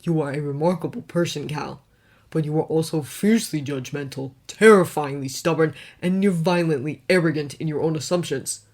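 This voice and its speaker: A woman voicing a stern, guarded wealthy man who talks in a clipped, hard, deadpan way. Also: sits low, moderate pace.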